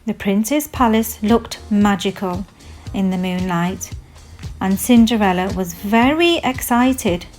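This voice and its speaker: Female storyteller for a children's fairy tale, unhurried and expressive, mid-pitched, over music. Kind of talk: scripted children's storybook narration. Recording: music behind the voice.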